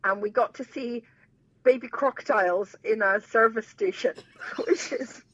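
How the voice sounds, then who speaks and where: A woman telling a story in casual chat with animated, self-mocking humour. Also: fairly high pitch, measured pace, slightly rough, no echo. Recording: dull lo-fi sound.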